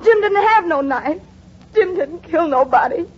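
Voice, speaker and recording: Scared young witness girl, super high voice, old muffled radio sound. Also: animated, a little slow. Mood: pleading. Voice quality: pleading, earnest. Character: frightened young woman witness, pleading. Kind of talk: 1940s radio drama acting. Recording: dull old 1940s radio broadcast with music.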